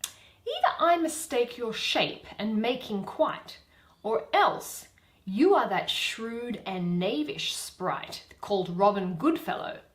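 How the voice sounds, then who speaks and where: A dainty wandering fairy played by a woman speaks in lilting stage verse. The voice is high and slow, rising and falling freely. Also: sing-song. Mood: curious, teasing. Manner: lilting, theatrical verse delivery. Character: dainty wandering female fairy serving the fairy queen.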